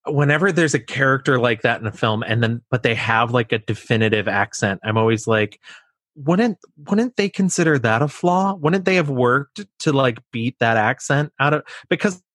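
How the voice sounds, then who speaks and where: A man with a Midwestern American accent co-hosting a casual film podcast, talking in a halting, restarting way. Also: mid-range voice, animated, fairly bright tone, dry recording, studio quality. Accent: generic Midwestern American. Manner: hesitant, lots of restarts, laughs. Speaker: podcast co-host.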